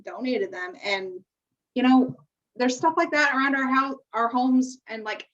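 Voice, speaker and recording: Young American female podcast co-host, higher pitch, informal and encouraging, lo-fi distant sound. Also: a little slow, a bit bright. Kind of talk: casual chat. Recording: muffled, distant-sounding microphone.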